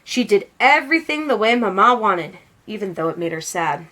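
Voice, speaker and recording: A woman narrating a storybook in an expressive read-aloud style. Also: medium pitch, normal speed.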